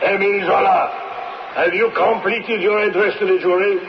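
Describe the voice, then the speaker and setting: A man's voice: high-pitched, steady tone, normal speed. A man in an old radio drama playing a stern French official in authority, formal and questioning. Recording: noisy muffled old radio recording.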